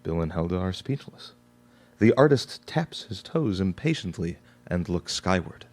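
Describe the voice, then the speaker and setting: An actor as narrator of a live radio play, setting the scene in a measured, emphatic way. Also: male voice, low-pitched, sing-song, a little mellow, exaggerated voice. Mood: dramatic.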